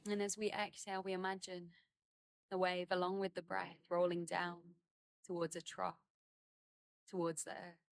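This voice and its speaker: Woman gently guiding meditation, very even pitch. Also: vocal fry, no room sound. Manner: gentle, unhurried phrasing with many pauses. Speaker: meditation guide. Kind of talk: guided meditation, slow and soothing instruction. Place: outdoors in a garden.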